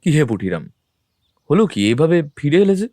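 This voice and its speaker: A man playing a composed, observant detective in an audio drama, talking in a measured, thoughtful, familiar way. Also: on the high side, big pitch swings, warm tone, dry recording, clean studio sound. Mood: curious.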